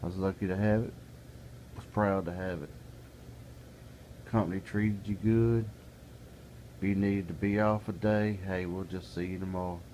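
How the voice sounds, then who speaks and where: A man's voice: low voice, fairly even intonation, normal speed, pauses often, mellow, a little hiss or hum, poor audio. A middle-aged man talking casually to camera in a plainspoken, folksy way, building into an exasperated rant. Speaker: vlogger. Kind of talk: casual ranting monologue.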